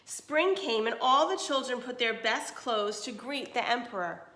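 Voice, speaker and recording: A woman with a fairly high voice reads a children's story like a teacher. She tells it with expression and pauses for explanations and questions. The room around her is clearly echoey. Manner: animated storytelling, pausing to explain and question listeners. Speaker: teacher reading a picture book aloud. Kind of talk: expressive children's read-aloud with asides and explanations.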